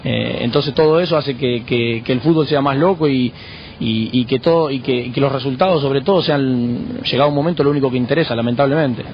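An Argentine man speaking in a measured, halting way, pausing and restarting his phrases. The sound is muffled, with a faint hiss underneath, like a radio broadcast. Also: medium pitch. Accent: Argentine Spanish. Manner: measured, frequent hesitations and restarts. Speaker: footballer guest. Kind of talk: radio interview answers.

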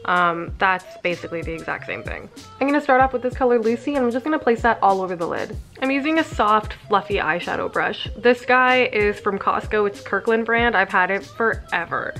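A woman's voice: mid-range voice, animated, fairly quick, a bit bright, faint music behind. A young woman with a cold, sounding slightly congested and nasal, chatting casually through a makeup routine at home. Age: young adult. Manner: chatty, walks through each step. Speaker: beauty vlogger. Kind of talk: casual makeup tutorial talk. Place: living room at home.

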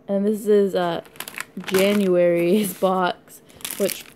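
A young woman from Canada chatting casually through an unboxing video, rambling and giggly, her voice hoarse from being sick. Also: medium pitch, level tone, slow pace, warm tone, slight background noise. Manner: rambling, giggly, lots of likes and ums. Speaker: vlogger.

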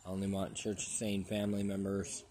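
Low, flat-voiced man rambling to a phone. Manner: repetitive, halting restarts. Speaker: vlogger. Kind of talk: rambling selfie plea to camera. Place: outdoors under a tree. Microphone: phone held at arm's length.

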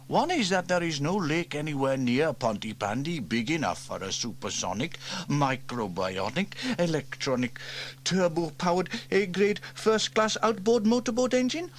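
A man's voice: a little high, expressive, moderate pace, slight background noise, voice pushed up, natural voice. A man voicing a sensible, matter-of-fact young boy in a storybook reading.

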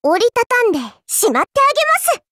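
A woman's voice: extremely high pitch, sing-song, fast talker, a bit bright, dry recording, studio quality, heavily acted. A woman voicing a dainty, childlike little girl in a mobile game, polite and prim in speech with a teasing, cruel streak. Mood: menacing, playful. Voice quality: childlike, cutesy. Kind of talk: Japanese mobile game character voice lines.

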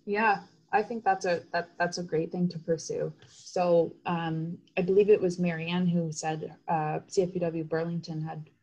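A woman presenting informally in an online meeting, speaking haltingly with repeated words. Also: fairly low pitch, steady tone, darkish tone, rough recording. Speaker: advocacy coordinator leading a meeting. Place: indoors on a group video call. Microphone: computer video call.

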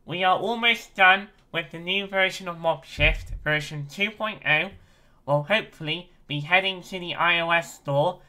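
A young man in his twenties giving an excitable, casual video update from his bedroom on a webcam. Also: a little high. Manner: excitable, chatty, frequent giggles. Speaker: game developer and streamer. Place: small bedroom, indoors. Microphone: webcam at desk.

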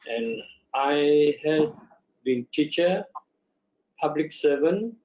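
A man's voice: a little high, animated, slow, a little mellow. An older man from Malaysia introducing himself on a video call, hesitant and self-deprecating, with small laughs. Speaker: panelist, interpreter. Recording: lo-fi echoey video call audio.

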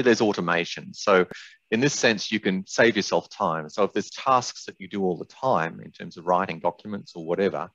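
Older male webinar presenter, deep voice, measured and explanatory, slightly dull audio. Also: fairly bright tone, dry recording. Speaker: presenter, software expert. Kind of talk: webinar presentation with product demonstration. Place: indoors, small room on a video call.

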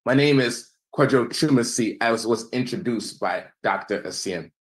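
Young us guy teaching over a video call, slow preachy emphasis, voice trails off at line ends. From United States. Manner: measured, preacherly, emphatic, drops pitch and volume at phrase ends. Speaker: seminar presenter. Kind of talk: presentation lecture with slides.

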